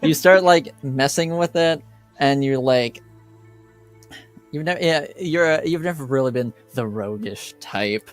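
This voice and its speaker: A man runs a tabletop roleplaying game as game master. He speaks slowly and conversationally in a smooth voice, feeling his way through the narration. Faint music plays underneath. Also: medium pitch.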